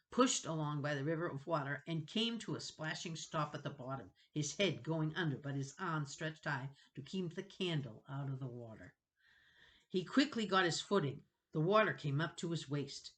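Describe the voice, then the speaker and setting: A woman's voice: low voice, fairly bright tone. A woman narrating a children's novel dramatically, reading with expression and suspense. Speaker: storybook reader.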